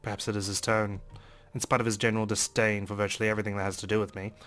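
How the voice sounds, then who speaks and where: A man's voice: on the low side, little pitch movement, racing, fairly bright tone. A man reading a visual novel aloud as a let's play host, in a dramatic first-person narration style. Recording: music under the voice.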